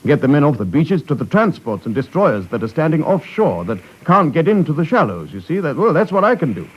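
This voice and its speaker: An actor playing a gentle, reclusive artist in a 1950s radio play, speaking haltingly and earnestly. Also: male voice, a little high, very animated, breathy edge. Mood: earnest resolve. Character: gentle, reclusive crippled artist setting off to war. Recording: dull vintage 1950s radio recording.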